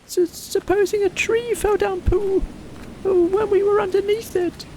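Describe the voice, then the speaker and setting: A man's voice: extremely high pitch, little pitch movement, measured pace, darkish tone, slight background noise, pitched above the performer's own voice, rough recording. A man voicing a small, timid young piglet with a nervous manner.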